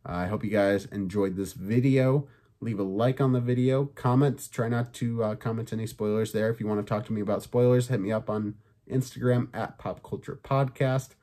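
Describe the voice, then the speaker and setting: A man with a somewhat low voice chats casually through his review, full of fillers and the odd curse. His throat sounds a bit sore, which gives him a light rasp. He talks in a loose, easygoing way. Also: steady tone, moderate pace, dark tone. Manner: loose and chatty, swears, many fillers. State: slightly raspy, sore voice. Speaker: podcast host reviewing films and shows.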